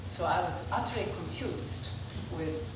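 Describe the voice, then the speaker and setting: An older woman from Japan with a Japanese accent and native Japanese, giving a prepared autobiographical slide talk in measured, halting phrases. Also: lowish voice. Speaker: presenter, traditional Japanese dancer. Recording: echoey room, distant mic, clear background noise.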